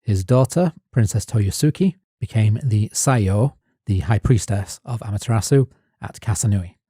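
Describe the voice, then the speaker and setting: A man co-hosting a podcast, reading his prepared notes as measured narration. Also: lowish voice, warm tone, husky, dry recording, studio quality. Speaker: podcast co-host. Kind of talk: scripted narration.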